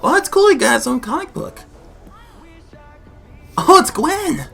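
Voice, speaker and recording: A man's voice: extremely high pitch, a little slow, creaky, soft background music. A man hosting an enthusiastic reaction and discussion vlog, exclaiming often and repeating himself. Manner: excitable, exclaiming, repetitive. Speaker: YouTube reaction host.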